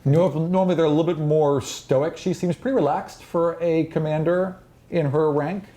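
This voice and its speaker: Mellow-voiced man running a tabletop game. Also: a little high, fairly even intonation, moderate pace. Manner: descriptive scene-setting with casual asides. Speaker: game master. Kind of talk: narrating a tabletop fantasy game.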